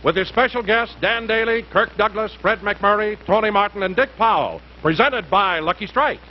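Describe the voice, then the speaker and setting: A man's voice: high-pitched, normal speed. A man announcing the opening of a 1950s television show. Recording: muffled 1950s TV broadcast, noise.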